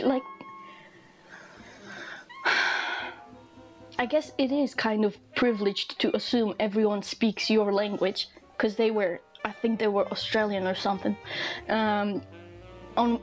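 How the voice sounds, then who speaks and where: A young woman from Eastern Europe chatting casually in a rambling vlog while drawing, amused and quick to laugh, acting out the people she quotes. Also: a little high, low-quality recording. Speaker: vlogger.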